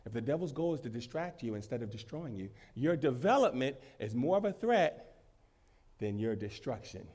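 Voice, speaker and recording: A man preaching a sermon, emphatic, swinging between loud peaks and quieter stretches and repeating phrases for weight. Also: mid-range voice, very animated, moderate pace. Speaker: pastor.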